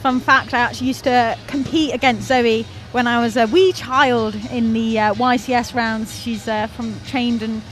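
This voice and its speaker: A bright, higher-voiced young woman from North Wales calls a climbing competition live, chatty and eager, cheering the climbers on. She laughs easily at her own slips, and venue music plays clearly behind her. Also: steady tone. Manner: chatty, enthusiastic, quick to laugh at herself. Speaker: commentator.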